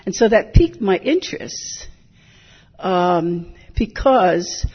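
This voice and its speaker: An older woman from Hilo, giving a measured, reflective talk as a hula teacher, often drifting into digressions. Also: lowish voice, slightly rough. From Hilo, Hawaii. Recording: dull lo-fi recording.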